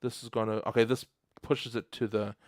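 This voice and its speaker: Young kiwi dude live coding, chats with viewers a lot, dry close sound. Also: male voice, lowish voice, little pitch movement, a little fast, a little mellow, no echo. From New Zealand. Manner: chatty, laughs often, reads chat aloud. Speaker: livestream host. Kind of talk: casual live coding stream.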